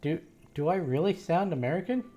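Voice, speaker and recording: A man's voice: a little high, brisk, warm tone. A young man with a light Australian accent casually commenting as he plays a game on stream, musing aloud to chat. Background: game music.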